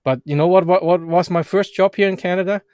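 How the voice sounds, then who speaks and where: A man whose first language is German, hosting a casual livestream chat with halting, animated talk. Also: high-pitched, clean tone, no room sound, studio quality. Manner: halting, restarts and fillers, animated. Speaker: vlog host. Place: Edmonton, Canada.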